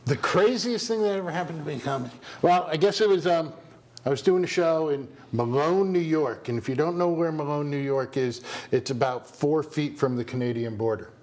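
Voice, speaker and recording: A man's voice: fairly high pitch, brisk, a little mellow. A man, a stand-up comedian guest chatting and telling stories with dry, self-deprecating humor. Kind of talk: casual chat, telling stories.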